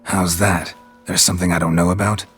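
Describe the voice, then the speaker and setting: An actor playing a gruff, weary, laconic monster hunter in a game, with a gravelly, terse delivery. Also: male voice, low-pitched, brisk, soft background music, heavily acted. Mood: questioning. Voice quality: gravelly, husky rasp. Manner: terse, flat, skeptical. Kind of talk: cinematic game voice acting.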